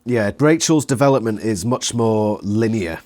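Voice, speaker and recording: Low-voiced man in casual fan discussion. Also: expressive, normal speed, darkish tone. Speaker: interviewer and book reviewer.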